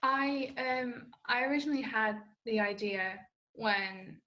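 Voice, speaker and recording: A woman's voice: on the high side, measured pace, highs cut off. A teenage girl giving articulate interview answers as a young writer.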